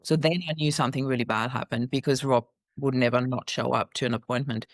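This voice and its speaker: Gravelly woman, dry sound. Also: very deep voice, steady tone, fast talker, dry recording. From Austria. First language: German. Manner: earnest, recounting in vivid detail. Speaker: guest. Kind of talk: personal storytelling in an interview.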